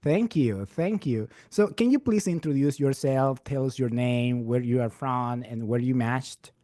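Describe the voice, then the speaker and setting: A man's voice: medium pitch, unhurried, warm tone, no echo, studio quality. A man who is a resident physician hosts an interview, upbeat, often repeating his words of praise. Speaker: interviewer, program ambassador. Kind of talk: scripted-sounding interview hosting.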